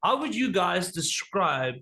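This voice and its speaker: A South African man with Afrikaans as his first language, hosting a relaxed, joking interview. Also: high voice, unhurried, creaky. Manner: relaxed, joking, swears casually. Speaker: interview host. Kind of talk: casual interview.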